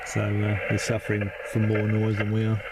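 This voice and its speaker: A man's voice: on the low side, completely flat delivery, moderate pace. A man narrating a casual amateur radio vlog from inside a vehicle, explaining things in a hesitant, unscripted way. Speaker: amateur radio operator presenting a video. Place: inside a parked four-wheel drive in the outback. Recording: very noisy hand-held in-car recording, radio static. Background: radio crackle and vehicle ambience.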